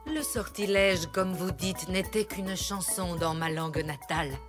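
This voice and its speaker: An actress voicing a mysterious, dignified zebra herbalist in a French cartoon dub, speaking formally and theatrically. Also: female voice, on the low side, natural voice. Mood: calm, explaining. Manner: formal, measured, theatrical, cryptic. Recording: music behind the voice.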